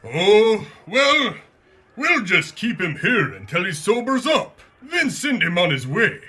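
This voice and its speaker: A man's voice: sits high, big pitch swings, takes it slowly, sharp tone, husky, full character voice. A man playing a courteous, theatrical foreign villain scientist in a pulp radio serial. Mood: calm, courteous. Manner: theatrical, polite, calling people comrade.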